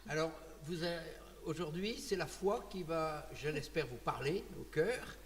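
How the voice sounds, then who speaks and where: Halting male host in a reverberant hall. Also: on the high side, expressive, noisy background. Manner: halting, repeats words while framing questions. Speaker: interviewer, host. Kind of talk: testimony interview.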